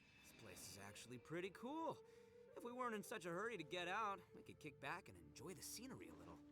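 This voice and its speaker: A brash young fighter from a video game, pitched on the low side. The teasing, cocky delivery leaps up and down in pitch. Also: female voice, wildly swooping pitch, quick pace. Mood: impressed.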